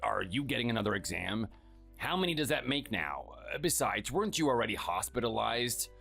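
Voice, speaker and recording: A performer voicing a cold, contemptuous workaholic husband who talks curtly and dismissively. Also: male voice, mid-range voice, big pitch swings, fairly quick, gravelly, pushed and bright, husky, soft background music, full character voice. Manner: curt, scornful, dismissive.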